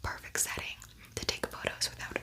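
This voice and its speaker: A woman speaking in a breathy whisper, chatting informally and softly as she hosts an ASMR makeup tutorial. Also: a little fast. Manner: soft-spoken, informal, chatty. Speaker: beauty ASMR video creator.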